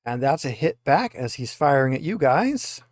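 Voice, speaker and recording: A man's voice: medium pitch, big pitch swings, normal speed, no echo, studio quality. A man acting as game master, talking players through dice rolls and rules in a tabletop roleplaying session.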